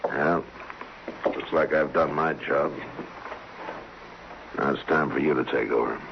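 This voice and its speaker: A stern lawman of the old West speaks in a very deep, steady voice. It is 1950s radio drama acting, heard through a dull, noisy old recording. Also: takes it slowly, vocal fry, full character voice. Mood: weary, subdued.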